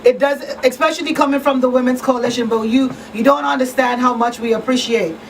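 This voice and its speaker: Higher-pitched woman hosting community radio, earnest. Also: fairly quick, gravelly, recorded from a distance, a little hiss or hum. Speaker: talk show host and advocate. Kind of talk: conversational community radio talk. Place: small radio studio. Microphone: studio microphone on boom arm.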